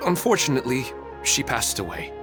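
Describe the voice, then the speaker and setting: A man's voice: medium pitch, sing-song, a little fast, close to an everyday voice. A man playing a mild, polite, sorrowful widower in a video game, speaking in a hesitant, subdued way. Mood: hesitant, sad. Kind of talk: English video game voice acting. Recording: music playing behind the voice.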